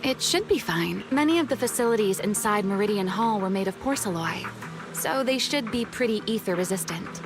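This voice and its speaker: An actress playing a serene, teasing legendary huntress in a video game, speaking politely and playfully over game music. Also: female voice, mid-range voice, lively intonation, normal speed, close to an everyday voice. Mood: wistful, composed. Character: serene, teasing, century-old legendary woman hunter. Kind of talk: video game story dialogue.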